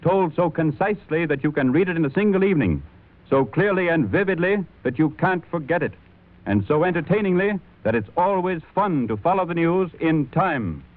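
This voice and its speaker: Man with a 1930s radio ad pitch. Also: expressive, normal speed. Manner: rhythmic, building sales pitch. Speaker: radio announcer. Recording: tinny 1930s radio recording.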